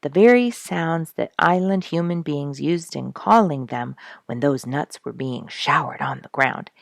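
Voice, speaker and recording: A woman reading a children's animal story aloud in an expressive, dramatic storyteller's manner. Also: sits low, a little slow, creaky, no room sound, studio quality. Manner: dramatic, rhetorical questioning delivery.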